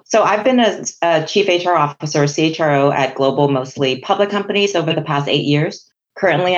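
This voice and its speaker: A woman from Hong Kong whose first language is Chinese, a guest answering interview questions in a measured, businesslike way. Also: sits low, lo-fi sound. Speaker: guest, HR executive.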